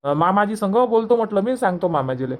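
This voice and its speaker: A woman's voice: mid-range voice, lively intonation, quick pace, darkish tone, natural voice. A woman playing a hospitable, insistent young daughter-in-law in a cartoon comedy, coaxing and repetitive, with a Varhadi Marathi accent. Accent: Varhadi Marathi (Vidarbha). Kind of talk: homemade cartoon comedy dub.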